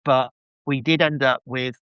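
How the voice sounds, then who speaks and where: A man's voice: medium pitch, fairly even intonation, measured pace, smooth, dry recording, highs cut off. A man chatting casually as a podcast guest, giving an enthusiastic fan review full of rambling asides.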